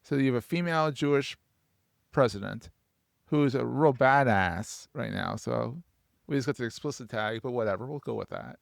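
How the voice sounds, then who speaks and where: A man's voice: mid-range voice, moderate pace, vocal fry, no room sound, studio quality. A man hosting a podcast interview, chatting casually and jumping in with playful, enthusiastic remarks. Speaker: podcast host.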